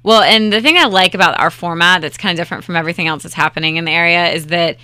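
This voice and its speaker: A woman from North Carolina, a festival co-founder and guest on a podcast, talking fast in an upbeat, direct way. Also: fairly low pitch, pushed and bright, no echo. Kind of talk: casual interview chat.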